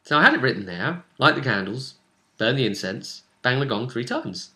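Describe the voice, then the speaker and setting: Animated, bright-voiced man recapping a game. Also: mid-range voice, normal speed. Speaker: game master. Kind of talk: casual chat recapping a tabletop role-playing session.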